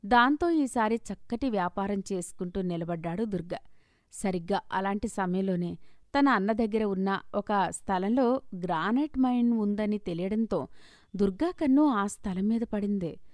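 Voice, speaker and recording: A woman's voice: medium pitch, moderate pace, no room sound, clean studio sound. A woman reading a scripted story as its narrator.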